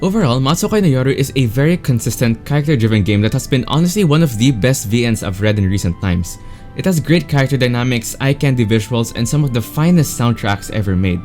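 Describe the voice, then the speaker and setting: A man's voice: mid-range voice, animated, fast, a little mellow. A man giving an enthusiastic, opinionated video game review as a voice-over. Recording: voice over background music. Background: music.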